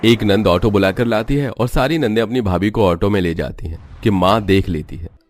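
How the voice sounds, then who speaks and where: A man's voice: lowish voice, moderate pace, slight background noise. A man narrating a scripted cartoon story.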